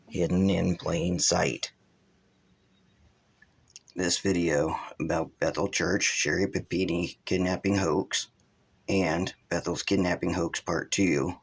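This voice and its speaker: A man's voice: sits low, gravelly, breathy edge, rough recording. A man hosting his own video channel, giving rambling, accusatory unscripted commentary. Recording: loud vehicle and background noise.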